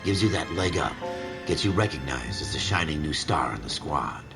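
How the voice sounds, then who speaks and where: A hard-boiled noir narrator with an extremely low American voice. He plays a seasoned, folksy cop in a detective game, speaking under loud music. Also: expressive, normal speed. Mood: knowing, hard-boiled.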